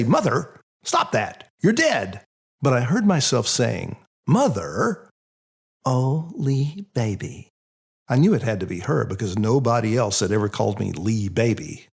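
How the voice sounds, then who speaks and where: A man reading his own first-person story as a scripted audiobook, in an expressive, reflective storytelling manner. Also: mid-range voice, creaky, husky.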